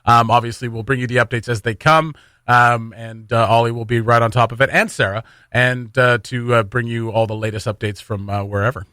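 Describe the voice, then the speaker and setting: Radio host guy, bright forward voice, jokey and casual, close dry studio sound. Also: medium pitch, steady tone, a little fast, clean tone, no room sound. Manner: jokey, informal. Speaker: radio morning show host.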